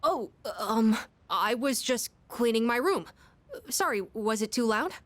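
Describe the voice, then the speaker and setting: A meek teenage schoolgirl in a video game. Her voice is fairly high and slow, hesitant and politely apologetic. The sound is close and dry. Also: expressive, dry recording. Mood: flustered, apologetic. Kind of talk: English video game voice acting.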